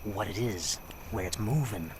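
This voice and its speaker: Actor as low-voiced, folksy wartime intelligence officer. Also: male voice, normal speed, noisy background, close to an everyday voice. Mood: confiding, businesslike. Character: folksy, confident wartime American intelligence officer briefing an agent. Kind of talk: video game cutscene acting.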